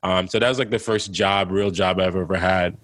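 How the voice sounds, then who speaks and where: A young adult man from Jamaica, raised in New York City, telling his story in a relaxed, casual way with many fillers. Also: deep voice, a little fast, no room sound. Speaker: guest entrepreneur.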